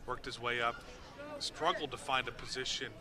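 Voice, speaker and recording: A man's voice: mid-range voice, steady tone, normal speed. A man giving conversational live baseball analysis as color commentator, outdoors at a ballpark. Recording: crowd noise at outdoor ballpark. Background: ballpark crowd.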